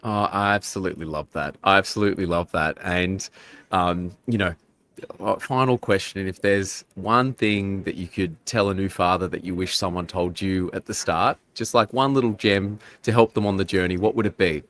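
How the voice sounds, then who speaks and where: Low-voiced enthusiastic male host. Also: steady tone, normal speed, fairly bright tone. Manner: enthusiastic, effusive, repeats praise. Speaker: podcast host. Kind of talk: live show interview.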